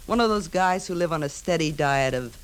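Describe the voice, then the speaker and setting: A woman's voice: sits low, lively intonation, fairly quick, smooth. A woman playing a friendly, flirty, homesick American neighbour in a 1950s radio drama, talking in breezy, slangy, clipped phrases. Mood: breezy, inviting. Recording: 1950s radio recording, hissy background.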